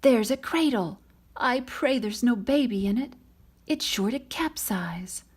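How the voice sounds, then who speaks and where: Woman voicing an alarmed, high-pitched storybook villager. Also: expressive, a little slow, breathy edge. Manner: urgent, exclaiming. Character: alarmed villagers watching a flood.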